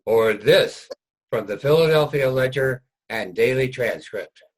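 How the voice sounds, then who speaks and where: A man's voice: medium pitch, expressive, a little slow, breathy edge. A man narrating a scripted historical reader's theater piece.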